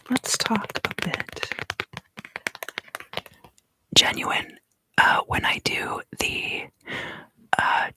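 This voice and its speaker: A woman softly whispering an ASMR tarot reading as a livestream host, soothing and unhurried, in a roomy recording. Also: medium pitch, husky.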